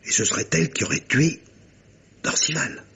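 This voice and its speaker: An actor playing a courteous, gallant army captain in a vintage French radio mystery drama, formal and husky. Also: male voice, on the high side, animated, normal speed, bright, forceful tone, full character voice. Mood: earnest, gallant.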